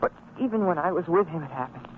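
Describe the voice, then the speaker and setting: A man's voice: a little high, animated, quick pace, lightly acted. An actor playing an earnest, dutiful and anxious young son of a fisherman in a religious radio drama. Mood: distressed. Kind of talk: old-time religious radio drama acting. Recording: tinny old radio recording, clear background noise.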